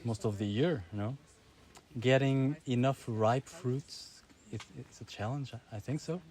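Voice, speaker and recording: A man's voice: mid-range voice, a little slow, a little mellow. A man interviewing a guest in a casual way, asking questions and nodding along with short encouraging replies.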